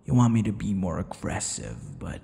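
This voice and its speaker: A man playing a possessive, teasing vampire lover in an ASMR audio roleplay, smug and menacing, murmuring and slipping into breathy whispers. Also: warm tone, in a large hall. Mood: taunting. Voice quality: low, gravelly. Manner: slow, breathy murmurs dropping into whispers, mocking endearments.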